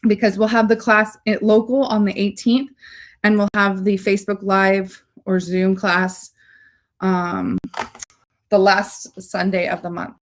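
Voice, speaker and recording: Woman, low voice, chatty, gushing, casual livestream host. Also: a little hiss or hum. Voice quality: low-pitched voice.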